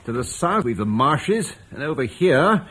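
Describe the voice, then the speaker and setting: An actor playing a confident Roman landowner in a radio drama, measured and persuasive. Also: male voice, on the high side, very animated, a bit bright, slightly rough, exaggerated voice. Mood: earnest, persuasive. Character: earnest, confident Roman landowner planning a defence. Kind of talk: British radio drama acting.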